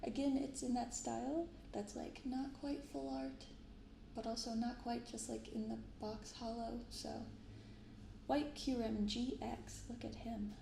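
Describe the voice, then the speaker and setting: A woman speaking softly in an ASMR style, giving gentle, unhurried casual commentary as host of a card-opening video. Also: fairly high pitch, fairly even intonation, creaky, echoey room, background noise. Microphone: binaural microphone.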